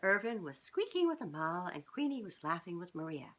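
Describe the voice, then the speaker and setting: A woman's voice: on the low side, very animated, a little fast, fairly bright tone. A middle-aged woman reading a children's storybook aloud, speaking expressively and directly to young listeners. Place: small furnished living room, indoors.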